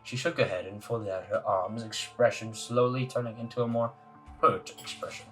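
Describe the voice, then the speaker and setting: A man's voice: lowish voice, fairly even intonation, moderate pace, a bit bright, low-quality recording. A man hosting a let's play, reading visual novel narration aloud in a casual way. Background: faint music.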